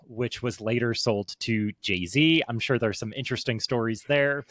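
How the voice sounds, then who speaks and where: A man's voice: medium pitch, a bit bright, dry recording. A man hosting a podcast, opening with an upbeat scripted introduction and then asking interview questions with emphatic delivery. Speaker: podcast host.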